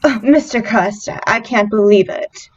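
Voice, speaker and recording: A gentle young woman played by an actress in an old time radio play, fearful and disbelieving. Her voice is high and unhurried, on slightly dull, muffled audio. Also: lightly acted.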